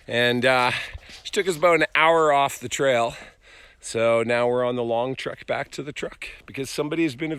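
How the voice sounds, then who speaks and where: A man's voice: medium pitch, a bit bright. A middle-aged man talking casually to viewers in a rambling, conversational outdoor vlog. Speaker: vlog host, fiction creator. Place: outdoors on a forest hiking trail.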